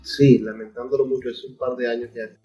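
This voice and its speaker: A man's voice: medium pitch, little pitch movement, a little fast, mellow. A man with a Venezuelan accent hosting a conversational literary interview on a radio program. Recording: distant, muffled mic with music.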